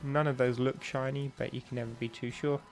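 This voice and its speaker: A man's voice: mid-range voice, flat delivery, brisk, faint music behind. A young man with a stuffy, bunged-up nose, chatting casually and rambling with lots of ums while he streams a game. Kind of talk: casual live-stream chat while playing.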